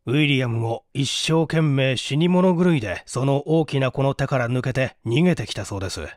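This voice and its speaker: A police detective, voiced by a man in an audio story, explains things in a measured, matter-of-fact manner. The voice has varied intonation and a dry, close sound. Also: mid-range voice, animated, no room sound, natural voice. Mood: explanatory.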